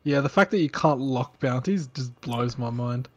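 A man's voice: medium pitch, normal speed, muffled recording. A man, down with a sniffle, teasing his friend and laughing often in casual voice chat. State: sick with a sniffle.

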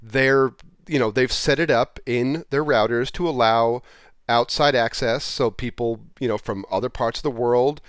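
A man with a medium-pitched voice talks through a how-to off the cuff. His delivery is informal and plain-spoken. The headset recording is dry, with very little room around the voice. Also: normal speed, clear, resonant tone, no echo. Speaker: presenter of a software how-to. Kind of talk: casual off-the-cuff tutorial talk. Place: small indoor room, plain wall. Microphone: headset microphone.

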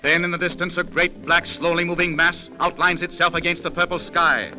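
A man's voice: a little high, fairly even intonation, a little fast, poor audio. A man narrating a 1930s radio drama in a dramatic, formal manner. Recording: old radio broadcast with faint music.

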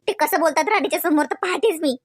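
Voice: extremely high pitch, no room sound, clean studio sound. Someone doing a bitter wife. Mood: indignant. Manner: complaining, rapid, repeats herself. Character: bitter, quarrelsome young wife and mother. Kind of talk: homemade family skit.

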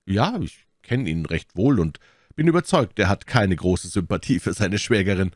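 Here is a man performing a young musketeer from an audiobook adventure. He is eager and excitable, speaking in exclamations. The voice is lifted and urgent, in a very dry recording. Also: deep voice, normal speed, dry recording, voice pushed up. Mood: eager, excited. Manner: spirited, exclamatory. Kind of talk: audiobook character dialogue.

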